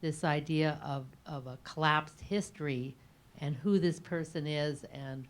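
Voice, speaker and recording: A woman's voice: deep voice, fairly even intonation, a little slow. A woman in the audience at a book talk asks a reflective question, searching tentatively for her words. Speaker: audience member asking a question.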